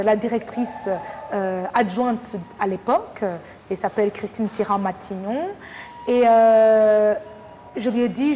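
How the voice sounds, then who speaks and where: A woman's voice: medium pitch, dark tone. A woman from Rwanda with a Rwandan French accent, answering interview questions reflectively, with hesitant phrasing. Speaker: interview guest, French language teacher. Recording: noisy, echoing hall sound with long reverb.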